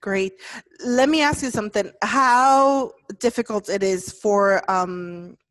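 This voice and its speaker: A woman's voice: medium pitch, slow pace, fairly bright tone. A woman hosting a casual interview, asking friendly questions with many hesitations and ums. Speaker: host.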